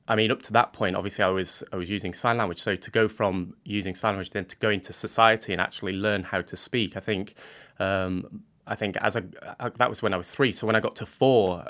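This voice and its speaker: A man speaking as a guest on a radio interview, earnest and halting, often restarting his sentences as he explains himself. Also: on the low side, fairly bright tone. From England. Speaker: guest, art student.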